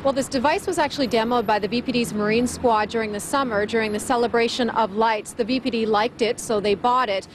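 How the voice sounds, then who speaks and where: A woman delivers a TV news report in a fairly high voice. There is clear vehicle and ambient noise behind her as she speaks in broadcast style. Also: steady tone, brisk, hardly any pauses.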